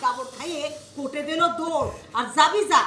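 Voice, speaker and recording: Village storyteller, played by a woman: high-pitched, bustling, Khulna Bengali accent, roomy stage sound. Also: fairly quick, bright, forceful tone, a little hiss or hum. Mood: storytelling. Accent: Khulna regional Bengali. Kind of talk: folk-tale narration on stage, with song.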